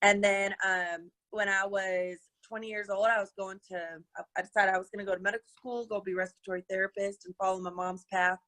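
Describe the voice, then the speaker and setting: Raspy-voiced bright woman guest. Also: medium pitch, fast talker, hardly any pauses, low-quality recording. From Colorado, USA. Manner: energetic, laughs often, many fillers. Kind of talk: casual interview chat. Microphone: webinar video call.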